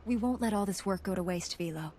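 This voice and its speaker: A woman's voice: mid-range voice, fast, dark tone, natural voice. Composed, resolute heroine played by a woman. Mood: calm, resolute. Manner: composed, assured, formal. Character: calm, resolute heroic female Jedi knight. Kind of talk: video game voice acting.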